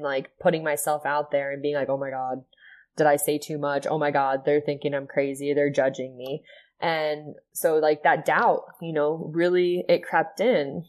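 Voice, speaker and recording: Low-voiced earnest woman sharing her story. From Ohio. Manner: earnest, soft-spoken, many "like" and "you know" fillers. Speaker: podcast guest, yoga and sound healing teacher. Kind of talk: reflective personal storytelling.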